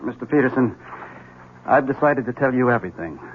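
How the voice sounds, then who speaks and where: A man playing a blunt, uneasy accountant husband in 1970s radio drama style, husky, deliberate and pedantic. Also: mid-range voice, lively intonation, narrow, tinny audio, a little hiss or hum, close to an everyday voice. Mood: blunt, formal. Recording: thin, band-limited old radio recording.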